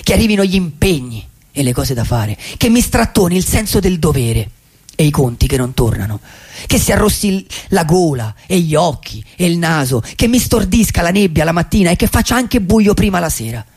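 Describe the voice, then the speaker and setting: Radio drama: male actor as a dreamy, lonely vagabond poet. Dark, gravelly voice, halting poetic delivery. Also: sits high, full character voice. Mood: defiant, building. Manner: halting, repeats phrases for emphasis. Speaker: actor in a radio theatre monologue. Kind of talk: poetic theatrical monologue for radio.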